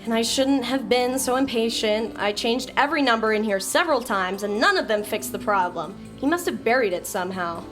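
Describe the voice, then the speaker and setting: A woman playing an impatient, sharp-tongued young coder who talks bluntly and sarcastically. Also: a little high, lively intonation, a little fast, few pauses, faint music behind. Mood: irritated.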